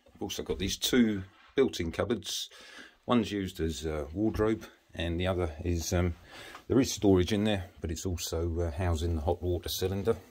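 A man giving a matter-of-fact, halting walk-through commentary as an estate agent, listing features as he moves through a house. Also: sits low, a little mellow, slightly rough. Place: walking through rooms of a house.

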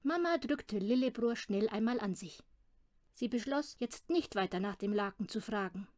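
A woman's voice: on the high side, lively intonation, normal speed, a little mellow, dry recording, studio quality. A woman narrating a children's audiobook from a script. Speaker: audiobook reader.

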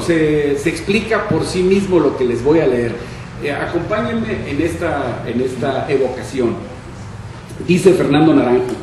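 An older man in his sixties with a northern Mexican accent speaks in a fairly high, soft-edged voice. He reads from prepared notes like a deliberate storyteller. The sound comes from a very echoey room, picked up at some distance from the mic. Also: slight background noise. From Monterrey, Mexico. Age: 60s. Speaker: journalist, panel speaker. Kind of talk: prepared talk, reading from notes aloud. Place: conference room panel, indoors. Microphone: shared table microphone on stand.

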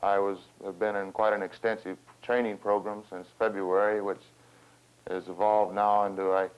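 An American man speaks in a very low voice with little rise or fall, picking his way haltingly through long, roundabout sentences. He sounds measured, as in a formal interview. The sound is slightly dull, like an old film soundtrack. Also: level tone, clean tone. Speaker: farm organization representative and cattle rancher. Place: small wood-panelled room, seated at a table.

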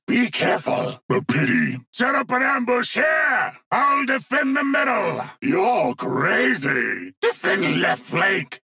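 A crazed orc shaman for a video game. His gravelly voice sits high and leaps all over the place, with a forceful, sharp edge. He is childlike and erratic, a cackling oddball who speaks in broken phrases. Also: exaggerated voice. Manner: cackling, erratic, third-person broken speech. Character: manic, unhinged, childlike male orc shaman warrior. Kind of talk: video game character barks.

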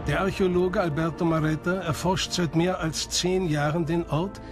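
A man's voice: fairly high pitch, steady tone, normal speed. A man reading scripted documentary narration. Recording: music behind the voice. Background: background music.

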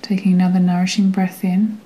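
A woman guiding a meditation in a gentle, soothing way, with a soft, sometimes breathy voice and long pauses between phrases. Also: lowish voice, completely flat delivery, poor audio. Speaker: meditation guide. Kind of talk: guided meditation narration.

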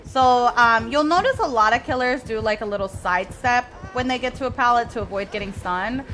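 A bright-toned woman streamer with a fairly high voice, chatting away as she plays live. Spanish is her first language, and she answers her viewers between moves, with faint game music underneath. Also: fairly quick, low-quality recording. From Mexico. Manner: chatty, reads and answers chat while playing. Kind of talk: live gameplay commentary.

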